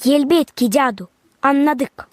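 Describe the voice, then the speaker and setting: A woman's voice: sits high, measured pace, no echo. A woman voicing an eager, agreeable young musician in a cartoon, with a cheerful manner. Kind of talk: animated cartoon voice acting. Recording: music behind the voice.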